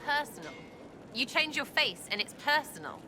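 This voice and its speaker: Brash, animated elf girl. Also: high voice, normal speed, background noise. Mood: teasing. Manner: cheeky, blunt, slangy teasing. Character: brash, mocking young female elf rogue, irreverent and slangy. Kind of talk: dramatic video game acting.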